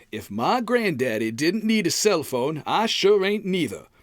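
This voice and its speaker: An actor playing a stubborn, folksy sitcom husband in old-time radio comedy style, hemming and hawing in colloquial speech. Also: male voice, on the high side, big pitch swings, a little slow, no echo, studio quality. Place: recorded at home, dry and close.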